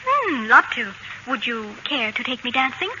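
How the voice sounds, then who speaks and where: An actress playing an affectionate, gently teasing wife and mother in an old radio comedy, with a light domestic manner. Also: fairly high pitch, sing-song, normal speed, heavily acted. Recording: dull, noisy old radio broadcast.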